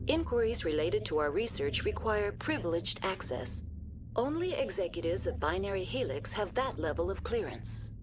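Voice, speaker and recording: A woman's voice: medium pitch, very animated, moderate pace, background noise, lightly acted. A woman voicing a polite, unemotional female computer intelligence in a video game, speaking in formal, procedural system announcements. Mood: calm, impassive. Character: polite, unemotional female AI running a research station. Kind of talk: scripted video game dialogue.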